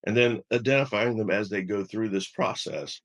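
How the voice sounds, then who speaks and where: A mature man, a medical executive, answering an interview in a measured, explanatory way, halting and repeating words as he builds his points. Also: lowish voice. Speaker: health system chief medical officer, interview guest.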